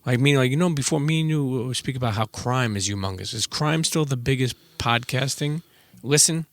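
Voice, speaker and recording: A man's voice: medium pitch, a little fast, no room sound. A man hosting a casual interview podcast, colloquial and talking straight to his listeners. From Brooklyn, New York. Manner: colloquial, addresses listeners directly. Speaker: podcast host.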